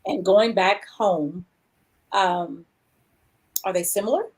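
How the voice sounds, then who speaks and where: A woman's voice: mid-range voice, takes it slowly, darkish tone, slightly rough. A woman hosting a casual livestream interview over a video call, chatty and rambling as she reads out viewer comments. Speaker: show host.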